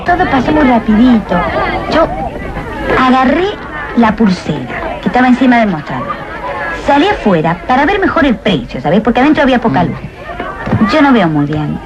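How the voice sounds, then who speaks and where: An evasive female detainee with an Argentine accent, chatty and full of self-justification. The film dialogue sounds dull and lo-fi, with a clear crowd behind her. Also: fairly high pitch, big pitch swings, normal speed, long pauses. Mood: defensive. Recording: dull old film audio, busy background.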